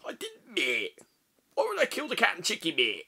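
A man doing loud, crude comedic roleplay while gaming, ending nearly every line with "mate". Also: high voice, huge pitch swings, moderate pace, creaky. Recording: roomy echo on the voice.

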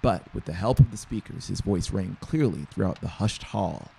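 A man's voice: deep voice, animated, normal speed, creaky, mellow, slight background noise. A man in his thirties narrating a scripted story as an audiobook reader, close on the microphone. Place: small brick-walled home studio. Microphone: close dynamic mic on a boom arm.

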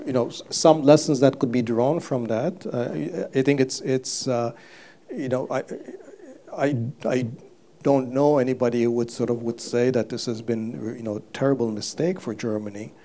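An older man from Turkey, a guest on a live stage interview, speaking haltingly with many fillers and restarts, recorded on a lapel mic. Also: mid-range voice, steady tone, dark tone, a little hiss or hum. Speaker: guest economist. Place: stage before a live audience.

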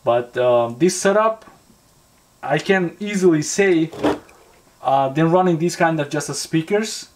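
Higher-voiced man reviewing casually. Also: lively intonation, background noise. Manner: halting, repetitive, thinking aloud. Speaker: product reviewer and vlogger. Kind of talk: casual hands-on review talk. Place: indoors at a tabletop.

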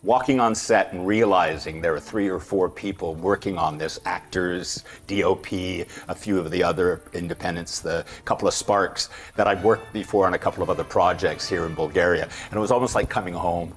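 A man's voice: sits low, fairly quick, hardly any pauses. A middle-aged man from Los Angeles, speaking thoughtfully as an actor in an interview. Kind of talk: press interview.

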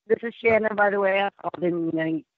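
A woman's voice: mid-range voice, fast, no echo. A woman from Texas calling in to a show, her voice nearly gone. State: nearly lost voice. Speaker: caller. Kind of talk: phone call-in chat. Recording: tinny phone line.

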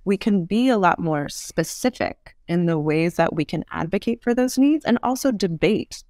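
A woman's voice: on the low side, lively intonation, moderate pace, darkish tone, no echo, clean studio sound. Woman explaining ideas, closely and dryly recorded. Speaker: podcast guest, author and urban design expert. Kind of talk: explanatory interview talk.

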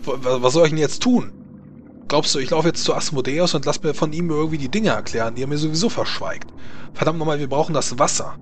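A suspicious, gruff guard captain, played by a man in a tabletop game. He curses and threatens with heavy sarcasm. The voice sits a little high, with noise in the recording. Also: natural voice. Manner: threatening, sarcastic, foul-mouthed.

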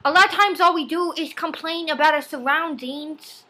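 Casting: nerdy fifteen-year-old boy vlogger played by a woman, high bright voice, earnest and preachy amateur self-help delivery. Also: moderate pace. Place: small home room. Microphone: laptop webcam at arm's length.

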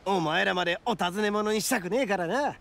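An actor playing a brash, hot-headed but loyal young oni gang boss in an anime-style game, talking rough and blunt. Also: male voice, high-pitched, brisk, fairly bright tone. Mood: determined.

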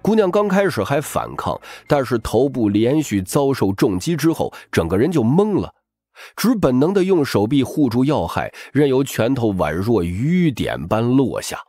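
A man's voice: mid-range voice, very animated, normal speed, warm tone, no echo. A man narrating an audiobook as a dramatic scripted story.

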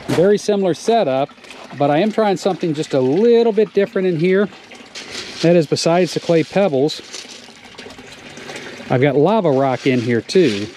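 A man on his farm casually walking through his fish-and-plant tank setup, explaining step by step with frequent pauses and "uh"s, recorded outdoors on a wearable camera. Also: fairly high pitch, animated, dark tone. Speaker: farmer showing his aquaponics setup. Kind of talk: casual walk-through narration. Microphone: wearable action camera. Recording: noisy outdoor camera audio. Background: outdoor ambience with running water.

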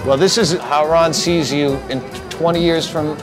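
A man in his thirties hosting a prank segment, interviewing couples in a deadpan, mock-serious way. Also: fairly high pitch, moderate pace, music in the background. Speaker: prank show host. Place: city street and studio set.

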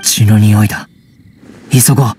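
A man voicing a wary teenage boy raised by wolves in an anime game, speaking in halting, terse fragments. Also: lowish voice, steady tone, a little mellow, a little hiss or hum, close to an everyday voice. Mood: urgent. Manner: halting, terse broken phrases. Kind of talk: anime video game voice acting.

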